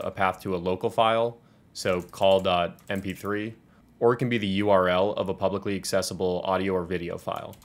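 A man in his twenties with a low voice and an American accent walks through a programming tutorial. He explains each step in order, in a clear instructional style. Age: 20s. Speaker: tutorial presenter. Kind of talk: scripted software tutorial walkthrough.

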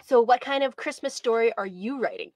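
High-voiced woman reading a story aloud. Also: brisk, a little mellow, no room sound. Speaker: host and writing instructor. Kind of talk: storybook read-aloud and friendly hosting. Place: indoors by bookshelves, on a video stream.

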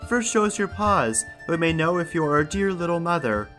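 A man's voice: on the high side, expressive, brisk, a bit bright, pitched above the performer's own voice. A man voicing young, wary little goat children in an emphatic storybook delivery, over music.

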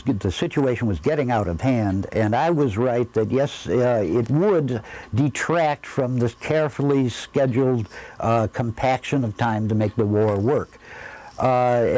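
Older male interviewee, mellow mid-range voice, formal vocabulary, thoughtful hesitations. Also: mid-range voice, poor audio. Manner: formal, measured wording, hesitant. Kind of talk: reflective interview. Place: indoor interview room. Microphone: lapel.

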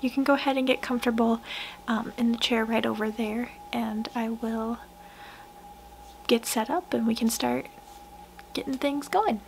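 A young woman doing a soft-spoken ASMR roleplay, hushed and gentle, often slipping into whispers, close to the mic in a small room. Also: fairly high pitch, moderate pace, vocal fry, pauses often, slight background noise, rough recording. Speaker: ASMR roleplayer playing a portrait painter. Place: small wood-panelled room indoors.

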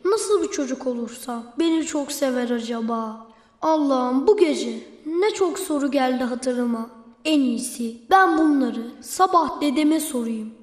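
Çok tiz sesli, hevesli küçük çocuk. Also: female voice, high-pitched. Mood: pensive. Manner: childlike, excitable, full of questions. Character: eager, devout, inquisitive young child Keko. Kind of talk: children's cartoon acting.

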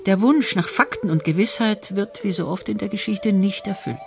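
A man narrates a documentary from a script in a notably high voice. Music runs behind his narration. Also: normal speed, vocal fry.

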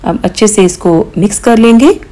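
A woman hosting a cooking channel, calmly explaining each step of a recipe in voice-over, with occasional small hesitations. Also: mid-range voice, moderate pace. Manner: calm step-by-step instruction with mild hesitations.

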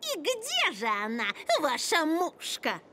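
High, grumpy wolverine thief. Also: female voice, huge pitch swings, slow pace, pushed and bright, slight background noise, heavily acted. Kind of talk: animated series character acting.

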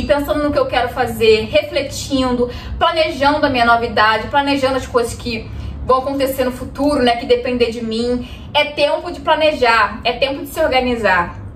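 High-voiced young woman in an echoey room. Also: slight background noise. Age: 20s. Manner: earnest, gestures constantly, often laughs softly. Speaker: vlogger. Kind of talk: reflective talk to camera. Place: room in front of a bookshelf, indoors. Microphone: camera a few steps away. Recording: echoey room, mic at a distance.

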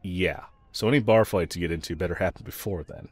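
A man's voice: low-pitched, a little fast. A man playing a snarky, pragmatic yet protective mercenary escort in a fantasy audio roleplay, talking to the listener in a wry, teasing, laid-back way. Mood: grumbling, caring.

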